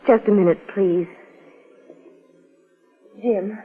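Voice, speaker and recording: An actress playing a blunt, wounded working-class wife under police questioning in a vintage radio crime drama. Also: female voice, mid-range voice, steady tone, extremely slow, breathy edge, faint music behind. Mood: subdued. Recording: muffled old-time radio recording.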